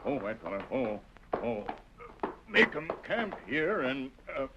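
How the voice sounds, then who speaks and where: Tonto, slow-spoken tracker, halting broken English. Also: male voice, mid-range voice, very animated. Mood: terse, observant. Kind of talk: 1940s radio drama acting. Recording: noisy lo-fi old radio recording.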